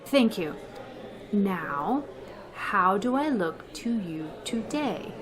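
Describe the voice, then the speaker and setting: A medium-pitched voice for a wry female schoolteacher, acted by a woman. She is long-suffering and dryly sarcastic, landing her remarks like the lead of a classic radio sitcom. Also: very animated, extremely slow, a crowd in the background. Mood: exasperated, dry. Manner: dry, sarcastic. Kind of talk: old-time radio comedy acting.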